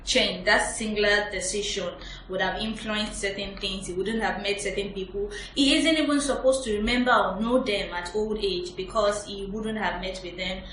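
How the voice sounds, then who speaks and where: A woman's voice: mid-range voice, steady tone, normal speed, hardly any pauses, a bit bright. Firm woman panelist in an echoey room. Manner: firm, argumentative, reasoning step by step. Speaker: review panelist. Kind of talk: casual panel discussion. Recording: echoey room with faint noise.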